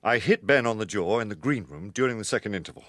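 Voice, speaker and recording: Anxious, protective British gentleman in radio detective drama; lowish, hesitant, very dry close sound. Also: expressive, fast talker, no echo. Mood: confessional, embarrassed. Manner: hesitant, faltering, apologetic.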